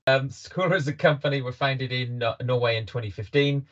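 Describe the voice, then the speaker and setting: A man's voice: medium pitch, brisk, muffled recording. A British man hosting a business webinar, talking hesitantly with many ums and restarts. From Britain. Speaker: webinar host, company representative.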